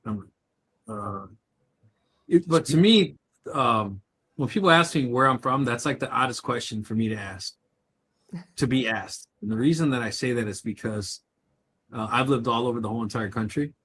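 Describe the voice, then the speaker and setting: A man's voice: mid-range voice, moderate pace, pauses often, a bit bright, a little hiss or hum. A man from Chicago co-hosting a casual live chat, telling personal stories with frequent laughs. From Chicago, USA. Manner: storytelling, chatty, laughs often. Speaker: co-host.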